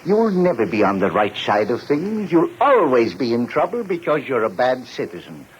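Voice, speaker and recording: An actor playing an aging, boozy barfly philosopher in a 1940s radio drama, speechifying in a florid, pompous, mock-tragic way. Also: male voice, medium pitch, sing-song, moderate pace, close to an everyday voice. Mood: lofty scolding. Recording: muffled, noisy 1940s radio recording.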